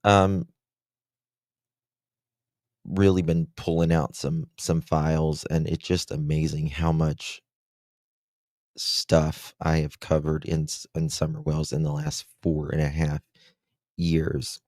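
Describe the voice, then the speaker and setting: A man hosting a true-crime livestream in casual chat, with a deep voice and a slow, halting way of talking full of fillers. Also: level tone, darkish tone, no echo, clean studio sound. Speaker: livestream host.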